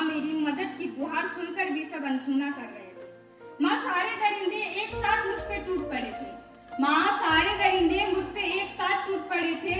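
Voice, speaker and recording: A teenage girl student giving an impassioned, plaintive dramatic poetry recitation, repeating lines for emphasis. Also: extremely high pitch. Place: echoey room at a school. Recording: echoey room, distant microphone.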